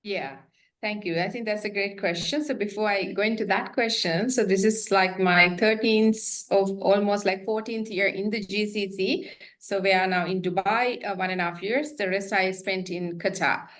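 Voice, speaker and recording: A woman's voice: mid-range voice, fairly even intonation, normal speed, fairly bright tone. A woman answering as a webinar panelist over a video call, earnest and detailed, often correcting herself mid-sentence.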